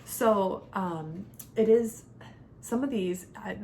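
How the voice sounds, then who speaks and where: A woman's voice: slow, a little mellow. A woman in her thirties giving a casual, conversational product review as a homeschooling mom, explaining things step by step. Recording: clear background noise with low rumble.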